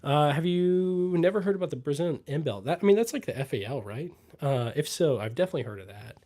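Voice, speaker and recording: A young man from the US Midwest hosting a casual livestream, reading chat comments aloud and answering them with lots of fillers and pauses. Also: a little high. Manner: relaxed, trailing fillers, pauses to read comments.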